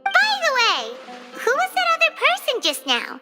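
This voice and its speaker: A woman voicing a small, cheeky, childlike fairy companion in a video game, squeaky and exclamatory. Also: huge pitch swings, normal speed, full character voice. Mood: curious, chirpy. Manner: speaks of herself in the third person, exclamatory. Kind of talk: video game character acting. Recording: music behind the voice.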